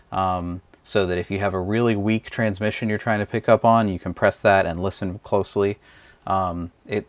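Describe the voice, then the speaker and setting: A man's voice: low voice, dry recording. A man casually reviewing a gadget as he demonstrates it, thinking aloud with frequent ums and uhs. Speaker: product reviewer. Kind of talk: casual unscripted product demo.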